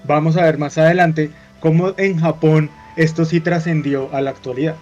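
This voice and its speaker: Male co-host, higher-pitched, quick examples, lo-fi audio. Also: steady tone, a little mellow, faint music behind. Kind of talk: talk show opinion. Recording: slightly dull lo-fi audio.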